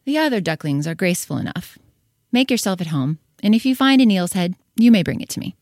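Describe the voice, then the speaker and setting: A woman's voice: medium pitch, very animated, a little fast, darkish tone, no echo, studio quality, lightly acted. Woman as haughty old storybook duck matriarch. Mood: haughty, condescending. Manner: imperious, blunt, condescending. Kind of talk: full cast storybook dramatization.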